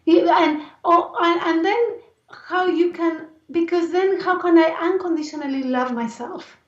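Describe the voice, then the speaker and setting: A woman from Argentina with an Argentine Spanish accent and a raspy voice, speaking earnestly and reflectively as an interview guest. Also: very high-pitched, unhurried. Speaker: interview guest, life and movement coach. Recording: roomy echo, distant mic, dull sound.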